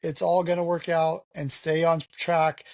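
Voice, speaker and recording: Gravelly man, frank and rambling. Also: a little high, level tone, measured pace, dry recording. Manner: rambling, frank, many "you know" fillers. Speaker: podcast guest. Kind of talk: candid conversational interview.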